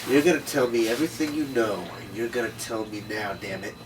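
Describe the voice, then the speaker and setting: A man playing a tough, suspicious, hot-headed noir detective with blunt, gruff delivery in a script table read. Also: mid-range voice, a little fast, natural voice. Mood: angry, threatening. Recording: distant mic, noisy room.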